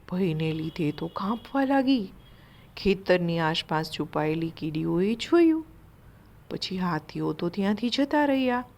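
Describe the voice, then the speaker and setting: Slow-paced woman telling a children's story. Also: on the low side, darkish tone. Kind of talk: narrating a children's moral story.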